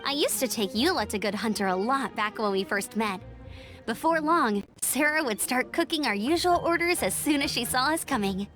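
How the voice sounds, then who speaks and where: Bright-spirited, high-voiced young scout girl. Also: animated, moderate pace, soft background music. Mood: cheerful, fond. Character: bubbly, loyal young female scout, eager and friendly. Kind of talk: anime video game voice acting.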